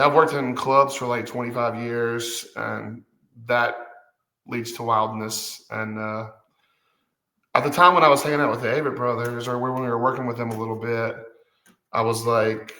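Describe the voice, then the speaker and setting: A man's voice: medium pitch, fairly even intonation, normal speed, pauses often, sharp tone, echoey room. A man in his forties, a guest musician, talking casually and hesitantly with many fillers and restarts. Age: 40s. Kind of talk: casual conversation.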